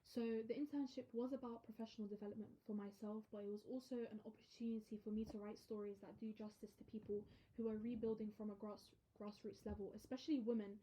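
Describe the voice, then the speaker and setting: A woman's voice: mid-range voice, steady tone, fast talker, hardly any pauses, warm tone, rough recording. A young woman, a university student, giving an earnest podcast talk about her article with small fillers. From half Iraqi, half Lebanese heritage. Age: young adult. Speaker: student guest presenter. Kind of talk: reading a prepared podcast talk.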